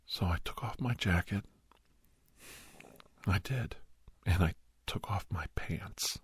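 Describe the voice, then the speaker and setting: Hushed, very husky deep-voiced doctor, acted by a man. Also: whispered, exaggerated voice. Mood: grave, haunted. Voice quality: breathy, husky, low. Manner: halting, hushed, dramatic pauses. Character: middle-aged male doctor, earnest, sentimental, reminiscing to a youngster. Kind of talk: ASMR roleplay storytelling, soft-spoken and half-whispered. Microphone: close, dry microphone.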